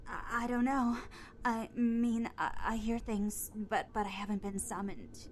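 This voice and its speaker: A woman's voice: on the high side, steady tone, a little slow, natural voice. An actress playing a frightened, confused young female cult recruit in a video game, stammering and hesitant, trailing off into whispers. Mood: fearful. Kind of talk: video game dialogue acting.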